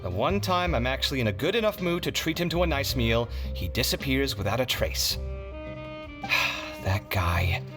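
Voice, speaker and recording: A man plays an expressive young scholar in an anime game. His medium-pitched voice swings widely, articulate and quick to exasperation, with guitar music clearly behind him. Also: natural voice. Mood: exasperated. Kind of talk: anime video game voice acting.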